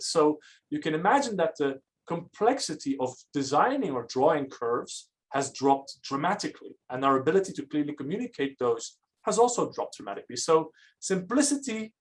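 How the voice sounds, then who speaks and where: Higher-voiced man giving a lecture. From Belgium. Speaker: architecture lecturer. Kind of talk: academic lecture.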